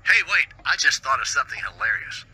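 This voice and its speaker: A snarky, bright-voiced radio boss. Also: male voice, mid-range voice, expressive, fast talker, slightly rough, full character voice, low-quality recording. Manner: sarcastic, belittling, rambling instructions. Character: snarky condescending male radio station boss. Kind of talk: video game voice acting.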